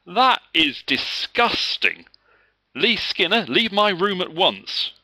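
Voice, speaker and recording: A man's voice: high-pitched, big pitch swings, slow, bright, forceful tone, dry recording, voice pushed up, heavily acted, poor audio. A man voicing a firm, easily exasperated male schoolteacher in a children's story. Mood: outraged. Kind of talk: children's story read aloud.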